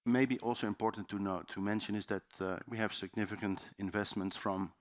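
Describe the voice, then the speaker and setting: A man's voice: lowish voice, steady tone, brisk, no echo, clean studio sound. A man with a Dutch accent giving a loose, chatty seminar presentation in a conference room, with many fillers and restarts. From Netherlands. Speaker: conference speaker.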